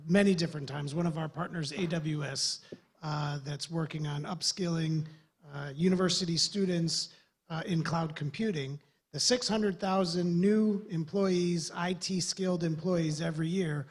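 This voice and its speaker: A man's voice: fairly high pitch, husky. A man from the USA, a government development official, giving earnest, loosely structured remarks on a panel. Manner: earnest, rambling with restarts.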